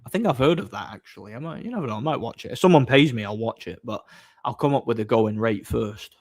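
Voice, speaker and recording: A man's voice: medium pitch, lively intonation, fast. A man, chatting casually on a livestream, reading out viewer messages and answering with mocking boasts. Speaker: live streamer reading chat.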